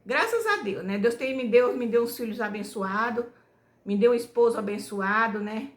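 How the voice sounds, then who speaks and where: A woman from Bahia, telling her family story to her channel in a loose, homespun way. She keeps checking in with "né?" as she goes. Her voice sits a little high, and the room around her echoes. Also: expressive, normal speed. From Bahia, Brazil. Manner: homespun, rambling, tags nearly every phrase with "né?". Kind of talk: casual storytelling to viewers.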